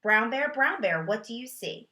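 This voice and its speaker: A woman teacher demonstrating a children's read-aloud, moving between explanation and expressive, sing-song reading with questions for a child. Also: a little high, normal speed. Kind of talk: read-aloud lesson with reading tips for parents. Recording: roomy echo.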